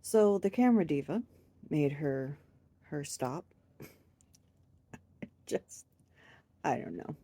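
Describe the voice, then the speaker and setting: A low, slow-talking woman, her voice scratchy and congested from a cold. She rambles through a casual home vlog, laughing at herself often. Her tone is dark and mellow. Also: lively intonation. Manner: rambling, self-deprecating with frequent laughs. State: hoarse, stuffed up from a cold. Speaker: crochet vlogger. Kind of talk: casual chat to camera.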